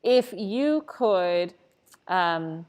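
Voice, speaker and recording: A woman's voice: on the low side, expressive, drawn-out words, background noise. A woman from Costa Rica answering questions in a live, unscripted church conversation, earnest and reflective. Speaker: church staff member.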